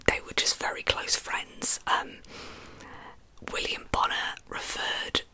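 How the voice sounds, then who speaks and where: A woman telling true crime as ASMR in a breathy whisper, with informal, chatty asides. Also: takes it slowly, pushed and bright, rough recording.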